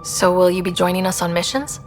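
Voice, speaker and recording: A woman's voice: on the low side, a little fast, faint music behind, lightly acted. A woman playing a curious, friendly young space explorer who leads a crew in a video game. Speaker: expedition leader.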